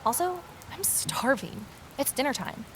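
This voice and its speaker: A woman's voice: high voice, animated, unhurried. Reflective woman investigator over noisy ambience, acted. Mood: observant, unsettled. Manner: reflective, conversational. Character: curious, determined middle-aged woman amateur investigator. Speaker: narrator and lead character. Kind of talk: first-person audio drama narration and dialogue.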